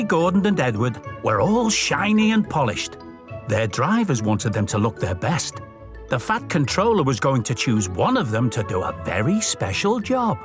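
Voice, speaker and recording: A man presenting children's storytime, narrating in a scripted style and speaking directly to young viewers with questions and simple instructions. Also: mid-range voice.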